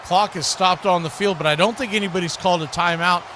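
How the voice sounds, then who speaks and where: Male American sports commentator, slightly high register, live stream host delivery, noticeably noisy sound. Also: quick pace. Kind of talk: live American football play-by-play and casual chat.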